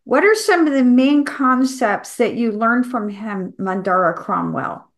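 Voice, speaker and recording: A woman interviewer asking a guest questions in a clear, formal way. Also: on the high side, measured pace.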